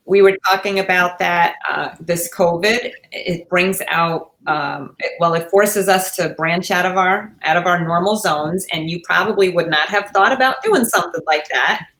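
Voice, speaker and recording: A woman's voice: on the low side, moderate pace, few pauses, fairly bright tone. A woman co-hosting a podcast, chatting casually with a guest in an interview. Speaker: podcast co-host. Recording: echoey, roomy sound.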